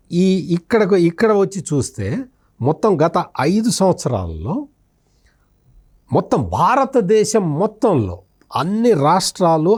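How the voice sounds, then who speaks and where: A man's voice: a little high, darkish tone. A man giving political analysis as a journalist, explanatory and emphatic, posing and answering his own questions and repeating phrases. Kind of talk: spoken political analysis in an interview.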